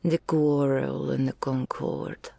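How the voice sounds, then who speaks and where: A woman's voice: extremely low pitch, level tone, takes it slowly, gravelly, warm tone, no echo, full character voice. A woman voicing a doting, regal female dragon in human form, speaking intimately to the listener in ornate, archaic phrases as an ASMR roleplay. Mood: fond, wistful.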